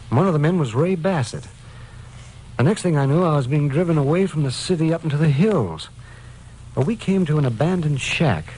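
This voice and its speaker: Casting: male actor as meek, desperate victim in vintage radio drama; medium pitch, hesitant, pleading, faint recording noise. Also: a little mellow, lightly acted. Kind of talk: old-time radio crime drama acting. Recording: noisy old radio recording.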